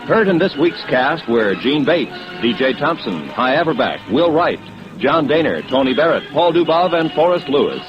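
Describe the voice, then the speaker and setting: A man's voice: fairly high pitch, big pitch swings, moderate pace. A man announcing in a formal 1950s radio style, as when reading programme credits. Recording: dull old radio recording over loud music.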